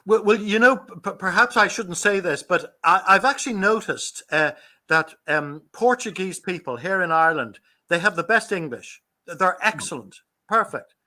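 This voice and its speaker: A man's voice: high voice, animated, moderate pace, sharp tone. A man hosting an online meeting, friendly and stammering with repeated words. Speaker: meeting host. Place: lives in Ireland.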